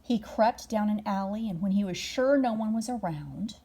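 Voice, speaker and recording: A woman reading a children's bedtime story aloud expressively, drawing out words and dropping into hushed moments. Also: mid-range voice, lively intonation, normal speed, slightly rough. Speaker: storybook reader.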